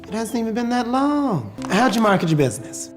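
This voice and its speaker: Woman playing a high, lively, theatrical drag queen. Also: brisk, faint music behind. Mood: advising. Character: supportive, encouraging drag queen bakery customer. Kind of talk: scripted inspirational short drama.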